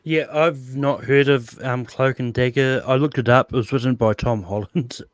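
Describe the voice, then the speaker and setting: A man's voice: medium pitch, steady tone, normal speed, dry recording. A man talking casually as a panelist with a dry, understated manner. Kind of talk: casual chat.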